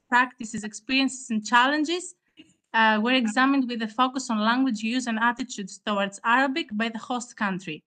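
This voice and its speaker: A woman's voice: a little high, bright, forceful tone. A woman whose first language is Greek, giving a formal, earnest research presentation in English at an online conference, with frequent hesitations. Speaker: award-winning student presenter.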